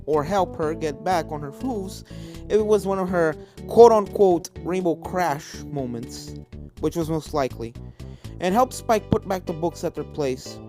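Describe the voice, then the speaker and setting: Story reader, medium pitch, scripted fantasy narration with music playing underneath. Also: animated, a little slow, mellow. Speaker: fan fiction reader.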